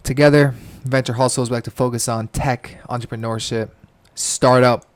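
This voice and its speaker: A man's voice: medium pitch, fairly even intonation, normal speed, no room sound. A man who co-hosts a podcast, opening the episode with an upbeat introduction and prompting his guest. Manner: upbeat intro, then short back-channel replies. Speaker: co-host. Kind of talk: podcast hosting and interviewing.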